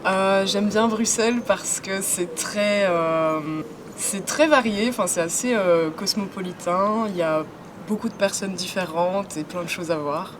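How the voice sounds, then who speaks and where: Woman, mid-pitched voice, hesitant with fillers, traffic behind. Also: normal speed. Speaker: interviewee. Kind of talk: casual street chat. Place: outdoors on a city street. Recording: street with traffic noise.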